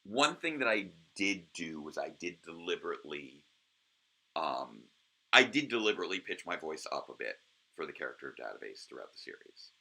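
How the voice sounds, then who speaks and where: A man with a naturally low voice, hosting a casual solo commentary with frequent ums and restarts. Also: very animated, fairly quick, gravelly, pushed and bright, husky. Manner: hesitant, full of ums and restarts. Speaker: host of a review series.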